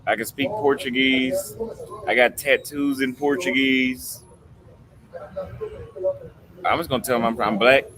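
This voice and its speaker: A man's voice: medium pitch, steady tone, a little slow, long pauses, a crowd in the background, low-quality recording. A man hosting a casual livestream chat outdoors, laid-back and joking, with plenty of swearing. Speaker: livestream host.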